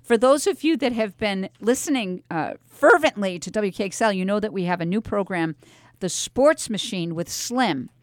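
A woman's voice: mid-range voice, animated, moderate pace, no echo. Chatty American woman hosting talk radio, close and dry. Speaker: radio host.

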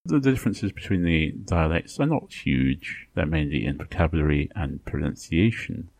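A man hosting a podcast, explaining in a lecture style with measured, segmented phrasing. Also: a bass voice, expressive, vocal fry, darkish tone, no room sound. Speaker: podcast host. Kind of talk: explanatory lecture narration on language.

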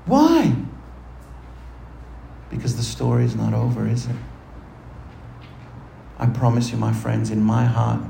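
A man preaching a sermon to his congregation, throwing rhetorical questions at them and shifting between quick asides and slow, low, weighty phrases. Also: lively intonation, vocal fry, mellow, echoey room, a little hiss or hum. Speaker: pastor.

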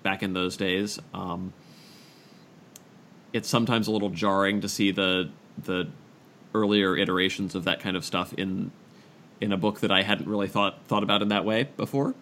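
A man hosting a podcast in casual discussion, halting and self-deprecating, repeating phrases as he works out his thought. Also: low-pitched, steady tone, fairly bright tone, slight background noise. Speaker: podcast host.

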